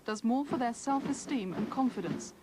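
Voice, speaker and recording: A woman's voice: on the high side, animated, normal speed. A British woman reading scripted narration for a television news film. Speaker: documentary reporter, narrator. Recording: voice-over with clear background noise.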